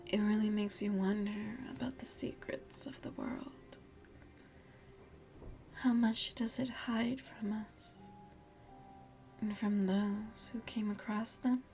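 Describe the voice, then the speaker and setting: A seductive, motherly vampire played by a woman murmurs in hushed, breathy ASMR roleplay. Her pace is slow and deliberate, her tone dark and soft. She coaxes and teases with quiet menace, close to the mic over faint music. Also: mid-range voice, steady tone. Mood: ominous, intriguing. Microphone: close microphone.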